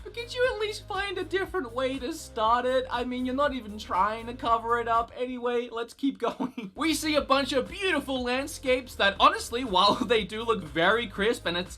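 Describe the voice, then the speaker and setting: A gaming commentator delivering game news in a wry, sarcastic, animated way. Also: medium pitch, moderate pace, hardly any pauses, soft background music.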